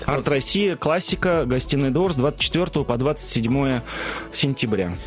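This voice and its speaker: A man's voice: medium pitch, normal speed, soft background music. A man, a producer of Orthodox projects, telling anecdotes as a radio-show guest, with lots of fillers and restarts. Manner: lively storytelling, many fillers and restarts. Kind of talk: anecdotal interview talk.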